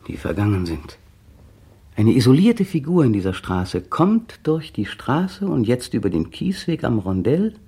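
An actor voicing a tense, bitter man in an inner monologue for a 1960 radio drama, with precise, brooding diction and a husky voice. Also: fairly low pitch, darkish tone, heavily acted. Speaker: male narrator of a radio play.

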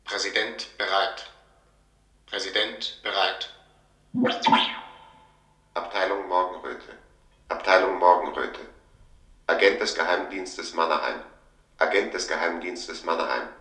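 A man's voice: deep voice, a little slow, pauses often, bright, forceful tone. A man playing a stern, impersonal announcer in a 1950s radio play, in clipped, formal phrases. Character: stern, impersonal government bunker announcer sending secret reports. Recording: echoey vintage 1950s radio recording.

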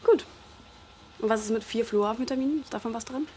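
A woman's voice: on the high side, fairly quick, darkish tone, a little hiss or hum, natural voice. An actress playing a cool, self-assured dealer in her twenties, speaking directly and in a businesslike way in a radio drama. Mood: probing. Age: 20s. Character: cool, self-assured 25-year-old female drug dealer. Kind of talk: naturalistic radio drama dialogue.

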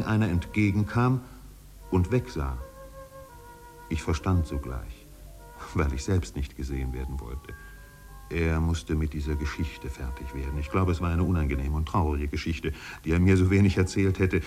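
A man playing a melancholy, lyrical narrator in a 1950s German radio drama, speaking in a measured, poetic, solemn way. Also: low voice, darkish tone, lightly acted. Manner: measured, poetic, solemn delivery with drawn-out phrases. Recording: 1950s radio studio production.

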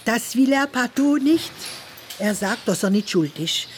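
A woman's voice: fairly high pitch, lively intonation, measured pace, slight background noise. Earnest, worried village woman. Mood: pleading. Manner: earnest, slipping French words into German. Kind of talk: German radio play acting.

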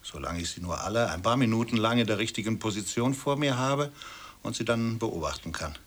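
A man's voice: on the low side, lively intonation, fairly quick. An actor playing an effusively polite, insistent and exacting male portrait painter in a radio play. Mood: courteous, particular.

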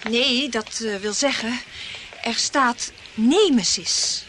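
An actress playing a flirtatious, well-spoken woman client in a detective radio play, coy and flattering. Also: fairly high pitch, slow, slight background noise. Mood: confiding.

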